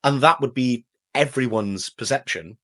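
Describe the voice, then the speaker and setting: A man's voice: mid-range voice, moderate pace. A young Welsh man with a Welsh accent, a voice actor chatting as an interview guest and acting out quoted exchanges. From Wales. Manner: animated, acts out quoted exchanges with a shifting voice. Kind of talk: casual interview chat.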